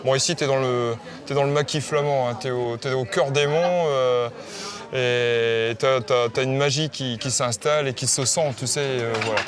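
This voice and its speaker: A man's voice: medium pitch, fairly even intonation, moderate pace. A man who runs a traditional tavern, chatting casually and rambling with many fillers. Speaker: tavern keeper. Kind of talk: casual chat. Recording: crowd chatter outdoors.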